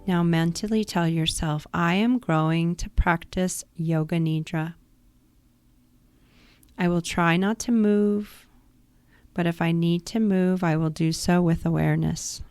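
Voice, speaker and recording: A woman guiding a yoga nidra relaxation practice in a calm, soothing, instructive manner. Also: fairly low pitch, a little slow, dry recording. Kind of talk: guided meditation.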